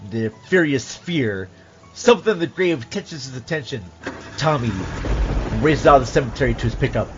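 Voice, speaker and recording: A man reading a scripted scene aloud as a podcast host, with emphatic, suspenseful delivery. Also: mid-range voice. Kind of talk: dramatic script narration and casual chat. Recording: dull lo-fi audio.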